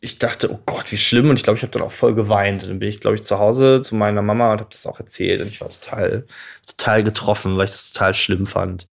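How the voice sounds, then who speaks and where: A man's voice: on the low side, fairly even intonation, fast talker, vocal fry. A man in a casual conversation telling his own story, sounding nervous and self-conscious. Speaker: guest telling a story from school days.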